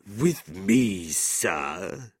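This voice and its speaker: Man playing a slow, sneering drunken aristocrat. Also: on the low side, sing-song, a bit bright, no room sound, full character voice. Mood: mocking scorn. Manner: slow, sneering, drawling delivery. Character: scornful, drunken, arrogant villainous aristocrat, adult man. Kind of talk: audiobook character voice.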